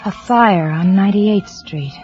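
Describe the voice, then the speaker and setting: A woman's voice: mid-range voice, unhurried, over loud music, close to an everyday voice. A woman playing a secretive, evasive wife. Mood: guarded. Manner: defensive, insistent. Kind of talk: 1940s radio drama acting. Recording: lo-fi vintage radio broadcast.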